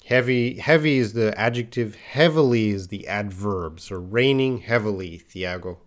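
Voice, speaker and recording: A man's voice: fairly low pitch, normal speed. A man teaching English in a live online lesson, patiently reading out viewers' answers and correcting them with repeated example phrases.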